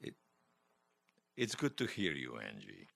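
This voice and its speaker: An actor playing a gentle, wistful older widower in an audio drama, teasing and tender, with a hesitant, stammering delivery. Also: male voice, very deep voice, huge pitch swings, measured pace, gravelly, dry recording, exaggerated voice. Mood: tender, sad. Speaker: interviewee telling his life story. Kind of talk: audio drama acting, personal reminiscence. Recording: music behind the voice.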